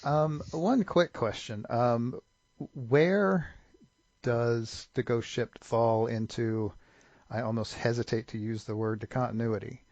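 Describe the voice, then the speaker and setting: A man with a creaky, gravelly voice hosts a casual chat. He is easygoing and informal, at a middling pitch. The audio is dry but dull and lo-fi. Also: slow, darkish tone, no room sound. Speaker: interview host.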